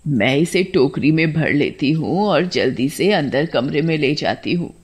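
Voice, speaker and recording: A woman's voice: sits low, lively intonation, unhurried, breathy edge, pitched below the performer's own voice, full character voice. A woman voicing a poor old village woman who dotes on her cow in a folk tale. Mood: amazed, delighted.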